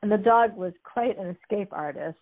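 Woman retelling stories casually, kinda husky, thin phone-ish sound. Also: mid-range voice, normal speed. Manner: chatty, amused, many fillers. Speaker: guest discussing a book. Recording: tinny phone-like line.

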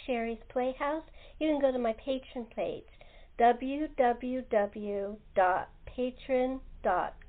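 A woman hosting a podcast in a casual, chatty style over a thin phone-like line. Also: high-pitched. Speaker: podcast host. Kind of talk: casual chat. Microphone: remote call.

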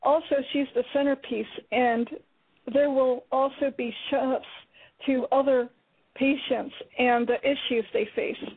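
A woman playwright reading the foreword to her play in a measured, earnest way. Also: high voice, steady tone, husky. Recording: tinny phone-line radio audio.

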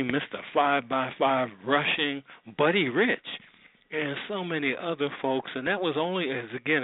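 An American man hosting a radio show, introducing old programs in a casual, rambling, conversational way. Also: medium pitch. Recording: roomy, phone-like narrow sound.

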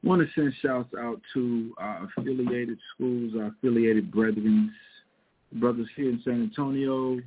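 A man at mid pitch hosts a call-in Bible talk show over a tinny, phone-like line. His delivery is loose and unhurried, and he keeps tossing in "man" and "uh" between phrases. It sounds like casual teaching on internet radio rather than a studio read. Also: steady tone, a little hiss or hum. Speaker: podcast host and Bible teacher.

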